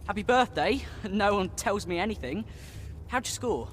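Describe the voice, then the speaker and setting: An actor playing an easygoing young member of a thief gang in a video game, casual and practical. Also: male voice, high voice, animated, moderate pace, slight background noise.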